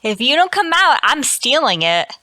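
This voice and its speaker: Lady doing brash wisecracking pal in old radio mystery, high lively voice, dry close sound. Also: normal speed, no room sound, heavily acted. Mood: amazed. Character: brash, wisecracking friend of a writer. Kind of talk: old-time radio horror acting.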